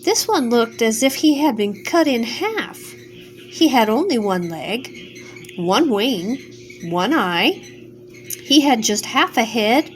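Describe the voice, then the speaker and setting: A grandmother reading a children's bedtime story, her voice lifting and dipping with every line. She reads slowly and gently, in a fairly high register. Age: older. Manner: expressive, storytelling cadence. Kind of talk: bedtime story read-aloud.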